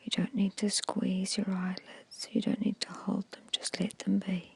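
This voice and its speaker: A woman guiding an ASMR meditation in a hushed, soothing, breathy whisper with short, measured phrases. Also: medium pitch, level tone, no echo. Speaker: hypnosis and meditation guide.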